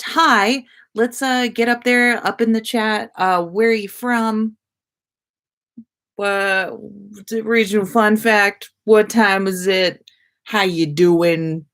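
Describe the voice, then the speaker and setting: A woman's voice: mid-range voice, a bit bright. A woman hosting a casual livestream, chatting with viewers in an informal, slangy, mildly sweary way. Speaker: podcast host. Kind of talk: casual livestream chat reading viewer comments.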